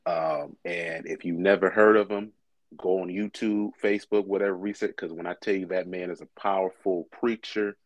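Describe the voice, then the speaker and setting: Young man, very low voice, dry sound, easygoing interview talk, from michigan, pastor. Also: moderate pace, no echo, muffled recording. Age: 20s. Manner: relaxed, conversational, with frequent fillers. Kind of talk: casual interview conversation.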